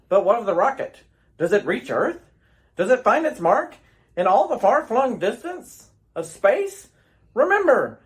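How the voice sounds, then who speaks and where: A man playing a portentous, suspenseful announcer of a vintage radio serial. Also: high-pitched. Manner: grandiose, suspenseful. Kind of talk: 1940s radio narration.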